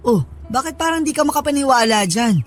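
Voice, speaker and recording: A man's voice: very high-pitched, lively intonation, a little fast. A man playing an affectionate young husband in a radio drama, talking in a casual, coaxing, reassuring way.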